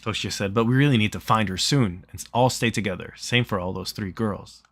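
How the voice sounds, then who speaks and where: Guy with a lowish voice reading a visual novel out loud, plain chatty delivery, pretty dry sound. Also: a little fast, no echo. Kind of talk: reading game story aloud with casual chat.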